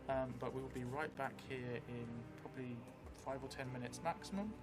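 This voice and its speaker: A man in his thirties speaks with a mid-pitched voice over clearly audible music. He is measured and reflective, hosting a solo tabletop storytelling stream from a table indoors. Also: fairly even intonation. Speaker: solo role-play game player and stream host. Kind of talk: livestream tabletop storytelling and chat.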